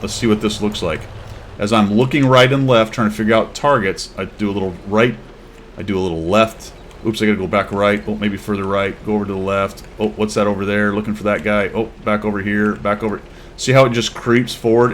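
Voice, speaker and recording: A man hosting a casual gameplay tutorial, explaining in a relaxed, chatty way with asides and fillers. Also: on the low side, slight background noise. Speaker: gaming channel host giving a tip.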